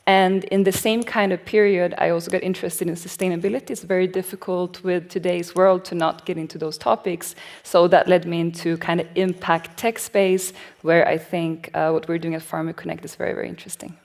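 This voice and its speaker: A woman's voice: fairly low pitch, flat delivery, a little fast, a little mellow. A woman from Sweden introducing herself conversationally as a startup panelist.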